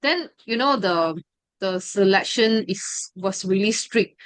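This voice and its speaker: A woman's voice: medium pitch, expressive, slow pace, dry recording. Hesitant young woman, dry sound. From Malaysia. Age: 20s. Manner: hesitant, full of fillers and restarts. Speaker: study group member sharing her story. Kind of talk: personal testimony.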